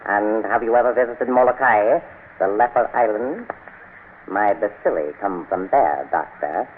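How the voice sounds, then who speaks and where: An actor playing a gloating, cultured criminal mastermind with slow, mock-courteous menace in an old radio serial. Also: male voice, on the low side, natural voice. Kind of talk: 1930s-40s radio serial acting. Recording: tinny, noisy old radio broadcast.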